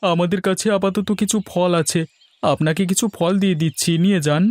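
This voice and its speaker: Man acting a quick, higher-pitched village family man. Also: steady tone, a little mellow, natural voice. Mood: concerned. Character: village family man, bossy but charitable. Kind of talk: cartoon fairy-tale voice acting.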